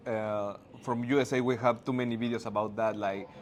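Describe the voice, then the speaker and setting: A man with a Mexican Spanish accent, a native Spanish speaker, interviewing in halting, word-searching English. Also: lowish voice, steady tone. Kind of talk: casual interview questions. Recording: faint background noise.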